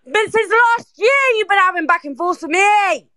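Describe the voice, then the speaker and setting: Angry London woman, extremely high voice, sharp and bright, aggressive and repetitive in a heated argument. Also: lively intonation, moderate pace. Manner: confrontational, aggressive, repetitive, swearing. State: angry, worked up. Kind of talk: heated argument in a live online group voice chat.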